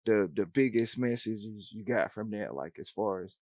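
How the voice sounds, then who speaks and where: A man hosting a casual music interview, laid-back and animated, speaking in slang with quick laughs and frequent yeahs. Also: fairly low pitch, no echo, studio quality. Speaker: show host, interviewer.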